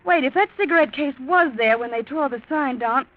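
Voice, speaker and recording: A woman's voice: sits high, fairly quick, no room sound, close to an everyday voice. Actress as a spunky, defiant young woman. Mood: scornful, accusing. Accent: American. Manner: emphatic, scolding. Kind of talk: 1940s radio acting. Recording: muffled 1940s radio recording.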